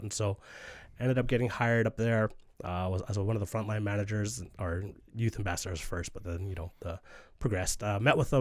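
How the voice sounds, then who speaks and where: Guest man, forties, from the US. Quick, low-ish, easygoing and chatty, dry close sound. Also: a little mellow, no echo. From United States. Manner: easygoing, rambling, laughs often. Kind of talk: relaxed conversational storytelling.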